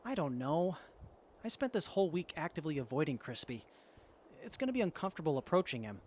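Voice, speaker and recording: A man's voice: on the high side, very animated, fast talker, a little hiss or hum. A man playing an earnest, hesitant, kind-hearted wasteland survivor in an audio drama dialogue. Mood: conflicted, hesitant. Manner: hesitant, sincere, soft-hearted.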